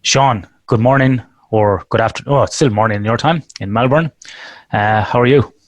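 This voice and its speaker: A man's voice: medium pitch, normal speed, no echo. A man hosting an interview podcast, friendly and chatty, asking long, rambling questions. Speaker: podcast host. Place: Western Australia.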